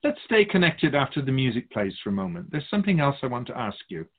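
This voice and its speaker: Man playing a husky, lilting otherworldly sage spirit. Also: mid-range voice, very animated, fast. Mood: wry, friendly. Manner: musing, formal, gently wry, philosophical. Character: Keeper of Souls Purpose, wise curious otherworldly being. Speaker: spirit guide being interviewed. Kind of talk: radio drama dialogue. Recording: muffled phone-like narrow band.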